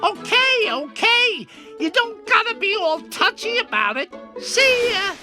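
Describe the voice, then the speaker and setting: Casting: a sheepish, dopey cartoon baddie, very high voice, drawn-out delivery. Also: sing-song, pushed and bright, soft background music, full character voice. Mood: sheepish, casual. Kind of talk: animated cartoon game acting.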